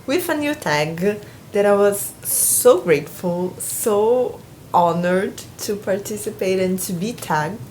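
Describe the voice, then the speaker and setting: A woman's voice: medium pitch, lively intonation, slow pace, slight background noise, low-quality recording. A young woman from Brazil with a Brazilian Portuguese accent, speaking English in a casual book vlog, hesitant, with many restarts. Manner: hesitant, many restarts and fillers, warm laughs between thoughts. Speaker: booktuber.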